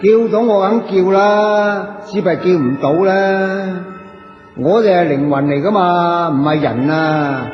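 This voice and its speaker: An actor with a Hong Kong Cantonese accent playing a cheeky, chatty, poor wandering soul in a radio drama, colloquial, playful and coaxing. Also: male voice, high-pitched, slow pace, soft background music. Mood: complaining, chatty. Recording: dull echoey audio with long reverb.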